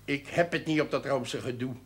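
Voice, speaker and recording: An actor playing a rough, hot-tempered drinker who talks bluntly and colloquially in a television drama. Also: male voice, mid-range voice, moderate pace, natural voice. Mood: gruff, candid. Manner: blunt, plain-spoken, colloquial. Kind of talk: 1970s Dutch television drama acting.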